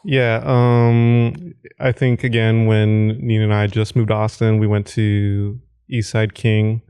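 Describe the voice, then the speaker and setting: A man with a low, smooth, mellow voice speaks in a flat tone. He hesitates and reaches for words, with frequent fillers. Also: slow. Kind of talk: casual chat.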